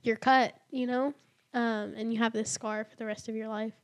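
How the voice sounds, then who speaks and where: A young woman in her twenties speaks in a somewhat high voice. She chats casually and openly, filling her talk with "like" and laughing often. It sounds like a relaxed conversation about faith and family. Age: early 20s. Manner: chatty, many "like" fillers, quick to laugh. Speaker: podcast guest.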